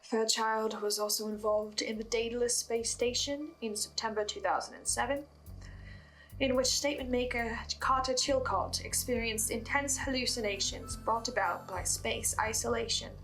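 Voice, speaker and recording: Woman host, slightly high pitch, scripted narration, earnest investigative delivery, background music. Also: steady tone, moderate pace, fairly bright tone, poor audio. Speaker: host of a fan analysis video. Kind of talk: scripted narration, theory recap. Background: music bed.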